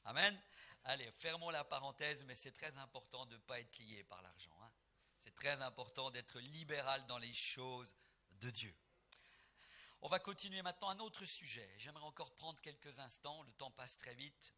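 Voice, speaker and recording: Brief: middle-aged gospel preacher from Portugal, bright piercing tone, emphatic swells and soft reflective asides. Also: male voice, medium pitch, expressive, moderate pace. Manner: emphatic, rising to near-singing on key phrases; soft and reflective when telling family memories. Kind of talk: preaching between songs at a concert.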